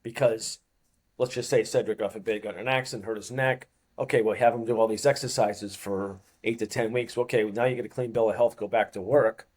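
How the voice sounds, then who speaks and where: A man's voice: medium pitch, darkish tone, slightly rough. A man in his fifties with a Boston accent, a fitness coach chatting as an interview guest and rambling through anecdotes. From Boston, USA. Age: 50s. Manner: rambling anecdotal storyteller, chuckles often. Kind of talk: casual interview chat.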